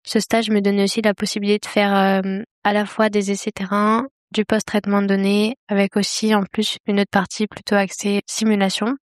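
A woman in her twenties from the Paris region, an engineering intern, describing her internship in an even, composed way. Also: mid-range voice, brisk, hardly any pauses, no room sound, studio quality. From Paris region, France. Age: 20s.

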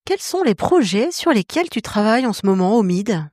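A woman's voice: mid-range voice, sing-song, moderate pace, darkish tone, dry recording, studio quality. A woman hosting a podcast interview, reading scripted questions in a polite, presenter-like way.

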